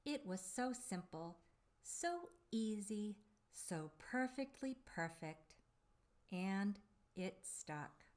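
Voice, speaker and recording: A woman reading a storybook aloud for young children, with deliberate, dramatic phrasing and drawn-out emphasis on key words. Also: mid-range voice, animated. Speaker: children's storybook reader and presenter.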